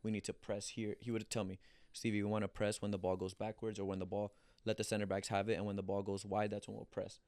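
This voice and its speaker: Low-pitched colombian guy, flat-ish voice, chatty and full of restarts, dry studio sound. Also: quick pace, clear, resonant tone, no echo. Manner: animated storyteller, acts out quotes, frequent restarts. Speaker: guest, former professional soccer player. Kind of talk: casual storytelling interview.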